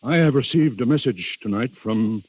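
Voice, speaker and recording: An actor in a 1930s radio drama playing an anxious, dutiful duke, speaking gravely and haltingly with great deference. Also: male voice, mid-range voice, expressive, a little mellow. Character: anxious, dutiful Italian duke hosting a dreadful guest. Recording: muffled, dull 1930s radio recording.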